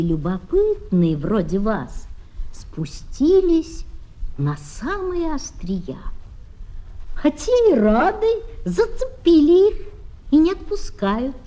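A woman narrating scripted fairy tales for children in an expressive storybook manner. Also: mid-range voice, low-quality recording. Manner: expressive, unhurried storybook delivery. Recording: vintage children's flexi-disc with music. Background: music.

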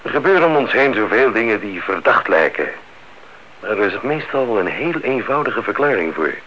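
Male police sergeant, lowish and firm-edged, measured, advisory, dull vintage radio recording. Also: very animated, pushed and bright, full character voice. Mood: admonishing. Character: reassuring, mildly admonishing police sergeant. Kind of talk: Dutch radio play acting.

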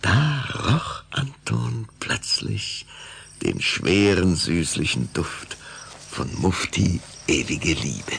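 A man narrating a scripted children's audio story in an expressive, storytelling manner. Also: very slow, a little hiss or hum. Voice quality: deep, gravelly.

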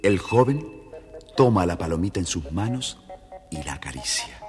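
A man narrating a scripted children's story in an expressive, theatrical way, with a husky voice. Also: low-pitched, moderate pace. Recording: hall-like reverb, faint music behind.

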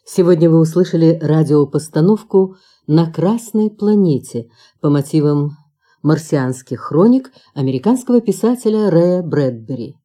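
A woman's voice: sits low, lively intonation, normal speed, warm tone. A woman reading a formal, scripted announcement as a Soviet-era radio announcer.

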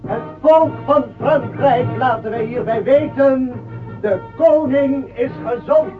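A woman acting a town crier in a radio play, making a formal proclamation to the nation in a loud, drawn-out call. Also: high-pitched. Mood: proclaiming. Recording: tinny radio play, music behind.

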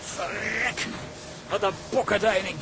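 An actor playing a gruff, loyal tugboat crewman in a radio drama. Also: high voice, fairly even intonation, unhurried, noisy background. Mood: grumbling.

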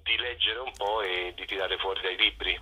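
A man's voice: deep voice, steady tone, moderate pace, pushed and bright, husky. A man being interviewed on radio over a phone line, talking reflectively and hesitantly as he thinks aloud. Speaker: poet and singer-songwriter guest.

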